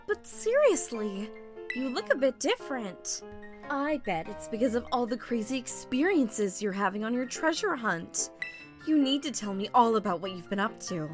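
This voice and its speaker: A woman's voice: fairly high pitch, very animated, a little slow, over music. A woman playing a friendly, chatty, self-deprecating school nurse who confides casually, in a fan dub. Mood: friendly, teasing.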